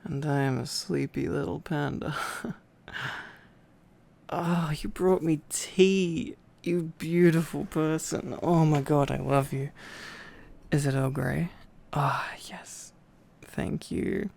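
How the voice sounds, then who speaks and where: Sleepy, creaky-voiced man murmuring slowly. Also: fairly high pitch, fairly even intonation, a little mellow. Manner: sleepy, affectionate, rambling, murmuring. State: tired. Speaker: ASMR roleplay performer. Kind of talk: intimate ASMR boyfriend roleplay.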